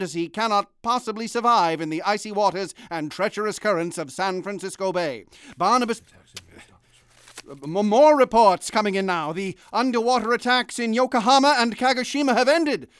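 High, clear-voiced radio news anchor. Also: male voice, a bit bright, no room sound. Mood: urgent, grave. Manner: grave, formal, deliberate announcing. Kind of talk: vintage radio newscast acting.

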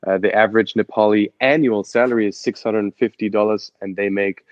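A man's voice: lowish voice, fairly even intonation, brisk, no room sound, lo-fi sound. A young man from Germany with a German accent, telling his story as an interview guest with many fillers and restarts. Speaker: guest, adventure photographer and expedition guide.

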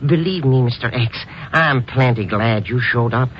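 An actor playing a nervous, garrulous, stammering comic sidekick in a 1950s radio drama. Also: male voice, mid-range voice, moderate pace, close to an everyday voice. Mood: eager, confiding. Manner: stammering, excitable. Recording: muffled, hissy old radio recording.

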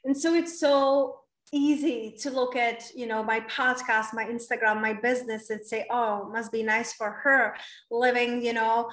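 A woman's voice: fairly high pitch, fairly even intonation, measured pace, a bit bright, roomy sound, recorded from a distance. A woman in her thirties with a Czech accent, a podcast guest telling her life story candidly and earnestly, often adding "like" and "right?". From Czech Republic.

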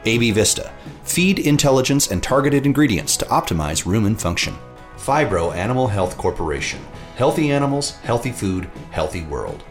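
A man reading a scripted sponsor list in a measured, formal way. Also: mid-range voice, big pitch swings, over music. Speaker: sponsor announcer.

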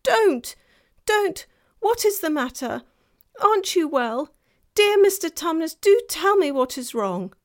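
A woman's voice: big pitch swings, slow pace, exaggerated voice. A woman doing a frightened, pleading young girl. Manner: high, pleading, distressed.

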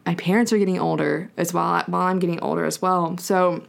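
Gravelly low-voiced woman chatting. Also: steady tone, brisk. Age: 30s. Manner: reflective, rambling with many likes. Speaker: podcast co-host. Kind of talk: casual chat.

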